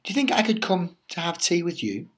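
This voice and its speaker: A man's voice: high voice, very animated, a little fast, voice pushed up. Man voicing a polite, hungry storybook tiger. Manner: courteous, mannerly.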